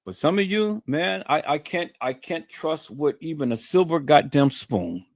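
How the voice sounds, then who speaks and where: A man's voice: a little high, expressive, unhurried, no room sound, clean studio sound. A man hosting a live stream, talking straight to his viewers in an emphatic, preachy way and often repeating his words. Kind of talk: casual monologue to viewers.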